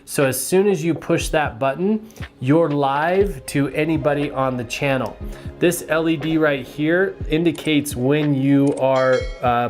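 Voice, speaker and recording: A man giving a casual, hands-on product review in a plain-spoken, explanatory way. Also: fairly high pitch.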